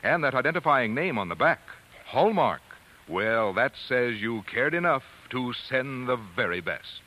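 Lively American radio announcer, male. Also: mid-range voice, unhurried, slight background noise, low-quality recording. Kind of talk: polished 1940s scripted announcing and sponsor copy. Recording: old radio broadcast, loud music.

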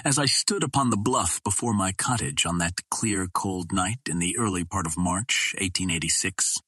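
A man reading an old adventure novel as an audiobook narrator, in a measured, dramatic storytelling manner. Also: low-pitched, bright, forceful tone, breathy edge, no room sound, studio quality.

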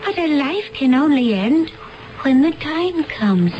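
Radio play role: fearful naive young woman, slow, swooping delivery, pleading. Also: high-pitched, big pitch swings, soft background music, exaggerated voice. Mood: bewildered. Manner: bewildered, pleading. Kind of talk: radio drama acting. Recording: dull noisy vintage radio recording.

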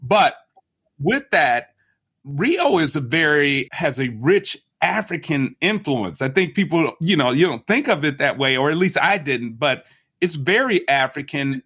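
A man's voice: a little high, a bit bright, husky. A man, hosting a conversational podcast and asking his guest thoughtful, open questions. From United States. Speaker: podcast host. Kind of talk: conversational interview.

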